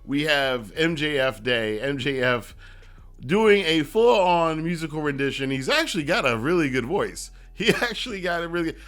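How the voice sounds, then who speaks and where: A man's voice: on the high side, a bit bright. A man hosting a wrestling review, giving casual, conversational commentary with rambling asides and emphatic repetition.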